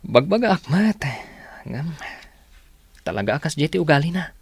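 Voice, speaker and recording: A man plays a decent young Ilocano villager in a radio play. His voice sits a little high, earnest and principled, recorded dry and close. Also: sing-song, normal speed, gravelly, slightly rough, no echo, heavily acted. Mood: sympathetic, earnest. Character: decent, sympathetic young man, disapproving of his womanizing cousin. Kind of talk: Ilocano radio drama acting.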